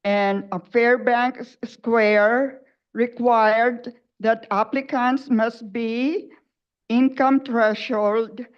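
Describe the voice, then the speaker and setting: A woman's voice: fairly high pitch, steady tone, extremely slow. An older woman, a senior tenant, giving a formal prepared public comment at a council meeting. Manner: formal, careful, reading a statement. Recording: muffled lo-fi meeting audio.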